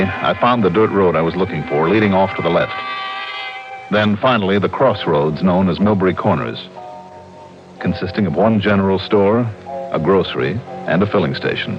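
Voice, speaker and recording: An American actor playing a wry, slangy, hard-boiled insurance investigator in an old-time radio detective drama. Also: male voice, sits low. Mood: matter-of-fact narration. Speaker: lead actor and narrator. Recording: muffled vintage radio recording with music.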